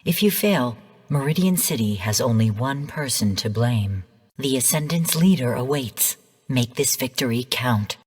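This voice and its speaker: An actress playing a female artificial intelligence in a video game, speaking in a measured, formal, clinical manner. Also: very deep voice, expressive, husky, heavily acted. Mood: commanding, encouraging. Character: calm female rogue artificial intelligence mentor turned genocidal overseer. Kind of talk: scripted video game voice lines. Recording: long hall-like reverb.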